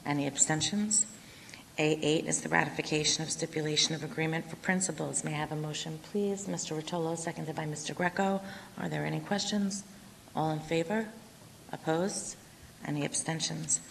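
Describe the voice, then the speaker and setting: A woman chairing a school board meeting, briskly reading agenda items and calling votes, her voice going hoarse with coughs. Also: low-pitched, fairly even intonation. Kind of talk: formal meeting procedure. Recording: reverberant hall with faint noise.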